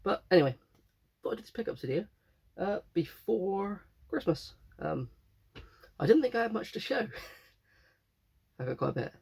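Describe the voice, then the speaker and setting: Young male vlogger, hoarse from a cold, raspy and gravelly, loose rambling delivery. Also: high-pitched, sing-song, normal speed, long pauses, darkish tone. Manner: rambling, self-correcting. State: croaky with a cold. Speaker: game collector vlogger. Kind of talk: casual chat.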